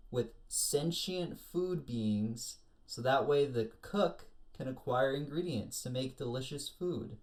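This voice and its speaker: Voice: medium pitch, darkish tone. A co-host of an anime review show chatting casually in a jokey, slangy way.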